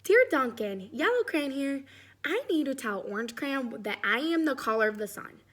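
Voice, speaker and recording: A woman's voice: sits high, sing-song, moderate pace, a bit bright, full character voice. Woman reading as an indignant, boastful crayon. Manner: emphatic, self-righteous. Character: indignant boastful Yellow Crayon, insisting he is the sun's color.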